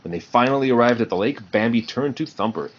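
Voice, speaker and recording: A man's voice: mid-range voice, expressive, fast talker, muffled recording, a little hiss or hum. A man narrating a children's storybook in a read-aloud style. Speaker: storybook reader.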